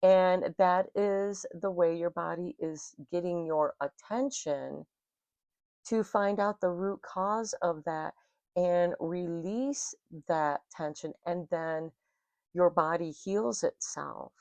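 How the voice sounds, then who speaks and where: An American woman giving an intuitive tarot reading in a calm spiritual manner, her throat rough and scratchy from smoke. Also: on the low side, dry recording. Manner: slow, soothing, spiritual. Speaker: tarot reader.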